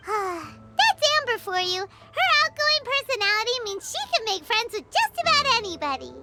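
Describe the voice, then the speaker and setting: A woman voicing a tiny, cheeky, childlike fairy companion in a video game, with a squeaky, exclamatory delivery. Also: big pitch swings, normal speed, heavy background noise, exaggerated voice. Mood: cheerful. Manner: refers to self in third person, exclamatory. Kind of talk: video game character voice acting.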